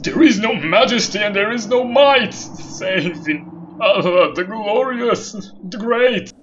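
An actor playing a grieving, wrathful, pious old uncle in an audio drama, speaking solemn archaic storybook English in a declamatory way. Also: male voice, high-pitched, lively intonation, slow pace, fairly bright tone, a little hiss or hum, exaggerated voice. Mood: awed. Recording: dull lo-fi sound with music behind.

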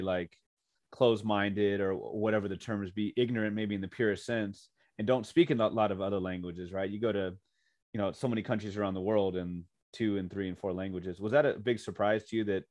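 A chatty man hosting a podcast, winding through long questions and dropping quick "mm"s and "right"s as his guest talks. The recording is dry and close, with no room sound. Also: fairly low pitch, steady tone, dry recording. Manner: chatty, rambling questions, frequent backchannel. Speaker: podcast host. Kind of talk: podcast interview.

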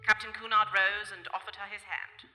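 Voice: mid-range voice, steady tone, brisk, close to an everyday voice. A narrator reading scripted story narration for a radio play. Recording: echoey hall, live stage recording. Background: loud music.

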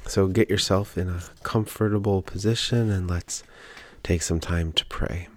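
A man leading a guided prayer meditation in a calm, gentle, unhurried manner with pauses. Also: sits low. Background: background music.

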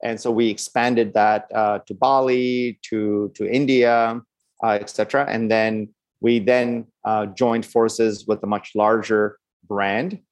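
An American man from Los Angeles in middle age, answering interview questions in a relaxed way, with lots of "uh" and restarts. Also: lowish voice, slow, no echo. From Los Angeles, California. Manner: relaxed, many fillers and restarts. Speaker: podcast guest, startup founder.

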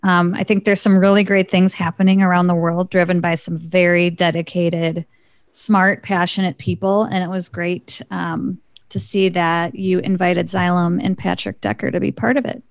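Female interview host, conversational, smooth clear tone, dry recording. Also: fairly low pitch, level tone, normal speed, dry recording.

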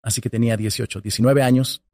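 Mellow-voiced man in his forties. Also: mid-range voice, fairly even intonation, fast, no room sound, clean studio sound. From Colombia. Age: 40s. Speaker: podcast guest. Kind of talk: telling his life story in an interview.

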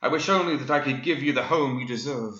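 A man's voice: mid-range voice, fast talker, a bit bright, pitched above the performer's own voice, close to an everyday voice. A man voicing a dashing, humble groundskeeper in a melodramatic romance, earnest, florid and pleading. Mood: lovestruck pleading. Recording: roomy, slightly dull recording.

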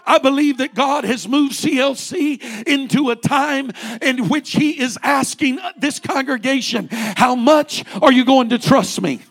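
A man's voice: very high-pitched, measured pace, creaky, hardly any pauses, a bit bright. A man in his late forties, a pastor preaching a fervent sermon with rising repeated refrains. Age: 40s.

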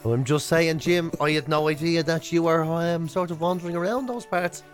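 A man's voice: on the high side, brisk, soft background music. A man with an Irish accent co-hosting a radio show, chatting casually with a dry, rambling, teasing sense of comedy. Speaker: radio breakfast show co-host. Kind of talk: casual comic banter. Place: radio studio.

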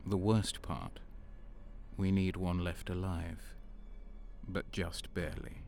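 A man's voice: deep voice, level tone, darkish tone. A man playing a brooding renegade guardsman. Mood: cold explaining. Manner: brooding, deliberate. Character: sadistic male renegade guardsman, brooding narrator. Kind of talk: first-person audio drama narration. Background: music.

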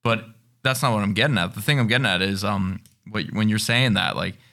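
A man's voice: fairly low pitch, a little fast, gravelly, bright, forceful tone. A man in a casual podcast chat telling a meandering anecdote, peppered with "like.". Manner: meandering, uses "like" often.

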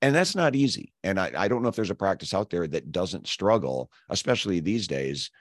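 A man hosting an interview podcast whose voice is hoarse and scratchy from losing it. He talks earnestly and builds long questions in several parts. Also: sits low, very animated, brisk, no echo, clean studio sound. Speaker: podcast host.

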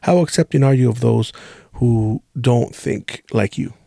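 A man's voice: medium pitch, unhurried, gravelly, mellow, no echo. Man in his forties, native spanish speaker, blunt and profane co-host, dry close sound. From Los Angeles area, California. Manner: blunt, profane, rambling with restarts. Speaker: podcast co-host. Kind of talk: casual chat.

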